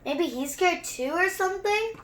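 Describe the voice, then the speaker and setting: Bright, high-pitched, chatty young story reader. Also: moderate pace. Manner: chatty, expressive, wanders off into own asides. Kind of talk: children's story time read-aloud with excited running commentary.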